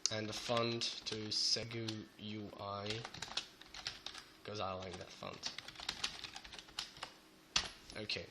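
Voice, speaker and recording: A young man with a Belgian Dutch accent who is a bit sick, talking haltingly through a coding tutorial with many fillers. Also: on the low side, steady tone, heavy background noise. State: sick, voice sounds off. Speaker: programming tutor. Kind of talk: screen-recorded coding tutorial. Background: keyboard typing and clicks.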